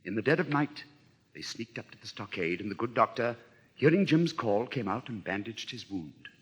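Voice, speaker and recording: Man as low, hushed adventure storyteller. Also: animated, moderate pace, slightly rough, long reverb tail, highs cut off. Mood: hushed, suspenseful. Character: storyteller narrating a pirate adventure. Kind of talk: dramatic scripted narration. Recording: noisy old vinyl record.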